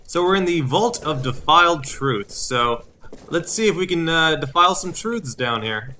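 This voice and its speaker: A man's voice: on the high side, animated, moderate pace, bright, forceful tone, slight background noise. A man giving explanatory video game commentary, with hesitant phrasing and repeated words. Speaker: gameplay host. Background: game music.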